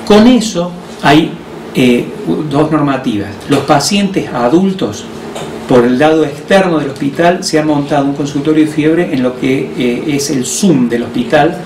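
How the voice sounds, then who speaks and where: A man with an Argentine accent, a hospital director who explains health measures on the radio in a measured way, with frequent hesitations. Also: on the high side. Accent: Argentine Spanish. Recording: roomy distant mic with faint noise.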